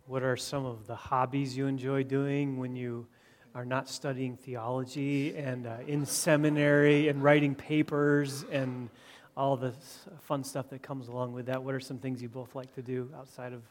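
A man, a pastor, hosting a question-and-answer time at his church, talking in a halting way with many "uh"s. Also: medium pitch, little pitch movement. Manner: hesitant, filler-heavy speech. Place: church fellowship hall.

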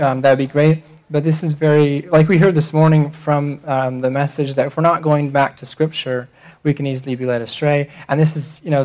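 Young man, visiting missionary, church talk, earnest, hesitant, many fillers, mid pitch. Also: darkish tone.

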